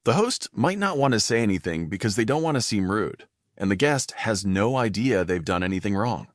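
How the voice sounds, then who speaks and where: A man with a low voice narrates an educational podcast, his pitch rising and falling in an animated way. He pauses to explain new words simply. The sound is dry and close. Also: dry recording. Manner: explains and defines vocabulary words plainly. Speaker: podcast host.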